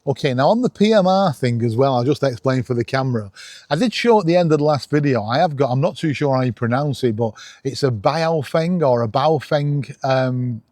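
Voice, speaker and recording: A man's voice: mid-range voice, animated, fairly quick, a little mellow, no echo, studio quality. A middle-aged man, chatting casually and enthusiastically as a self-deprecating YouTube host.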